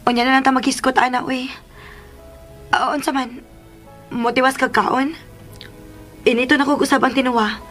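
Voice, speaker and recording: A woman's voice: high-pitched, little pitch movement, moderate pace, pauses often, a bit bright, faint music behind. An actress playing a humble, hesitant young woman in a radio drama, speaking with a Cebuano Filipino accent and a deferential manner. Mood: dutiful, gentle. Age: young adult.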